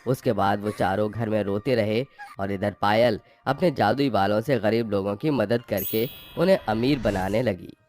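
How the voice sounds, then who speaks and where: A man's voice: fairly low pitch, normal speed, hardly any pauses, darkish tone, faint music behind. A man narrating scripted moral tales for a cartoon as the storyteller.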